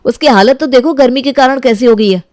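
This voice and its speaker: A woman's voice: high voice, brisk, darkish tone, dry recording, clean studio sound, natural voice. A woman voicing an earnest young man who insistently defends his beloved in a moral story cartoon. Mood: protesting. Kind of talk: acted dialogue in Hindi moral story cartoon.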